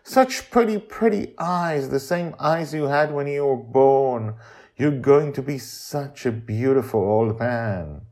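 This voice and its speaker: A man doing a teasing, higher-pitched doting grandmother. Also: a little mellow. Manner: teasing, cooing, drawn-out.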